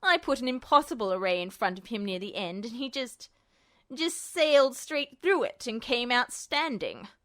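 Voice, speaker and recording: A woman's voice: fairly high pitch, lively intonation, moderate pace, fairly bright tone. A woman voicing a bitter, unhinged male villain, smug and sneering, in an audiobook story. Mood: cold wonder. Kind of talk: audiobook-style character acting.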